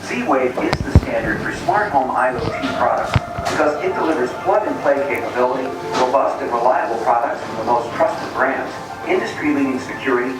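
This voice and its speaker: Echoey, distant promo narrator man. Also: mid-range voice. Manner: smooth, polished delivery. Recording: video played in a room, heard from afar with strong echo and music.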